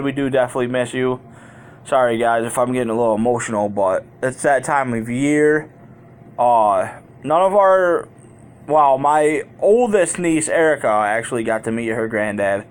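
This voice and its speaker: A man with a mid-pitched voice talks casually to his viewers as a vlogger. He tells personal stories in a candid, unpolished way. His wording is colloquial and he tends to ramble from one thought to the next. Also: measured pace, clean tone. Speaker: YouTube vlogger.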